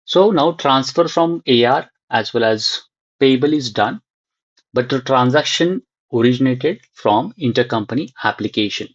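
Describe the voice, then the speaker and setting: A man giving an unscripted screen-share software tutorial, thinking aloud in short, halting fragments. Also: mid-range voice, expressive, dry recording, dull audio.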